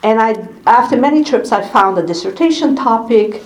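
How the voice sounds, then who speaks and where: A woman in her sixties speaking with a Hungarian accent, addressing an evening audience as a scholar. Her delivery is thoughtful and unhurried. She often pauses briefly to find the next word. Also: on the high side, animated, poor audio. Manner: thoughtful, unhurried, many small pauses and fillers. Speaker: scholar giving a lecture. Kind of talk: public talk at an evening event.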